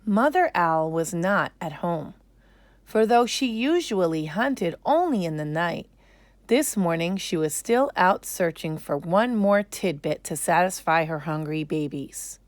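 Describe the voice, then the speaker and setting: Slow, low-pitched woman storyteller. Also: expressive, no room sound. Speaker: storyteller reading aloud. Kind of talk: expressive children's storybook narration.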